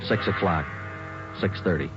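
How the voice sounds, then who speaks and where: An actor playing a terse, matter-of-fact police detective who also narrates, in a 1940s radio drama. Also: male voice, sits low, moderate pace, lightly acted. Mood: narrating. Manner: clipped, flat, matter-of-fact. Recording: dull lo-fi old radio transcription with music.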